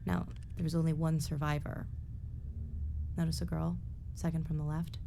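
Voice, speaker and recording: A woman's voice: deep voice, flat delivery, moderate pace, vocal fry, mellow, a little hiss or hum. An actress playing a streetwise, informal young woman in a video game cinematic. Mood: urgent, confiding. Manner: casual, streetwise.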